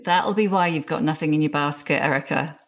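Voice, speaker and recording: A woman's voice: low voice, brisk. A woman hosting a chat show, talking in a conversational, gossipy way. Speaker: host. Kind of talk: casual interview chat.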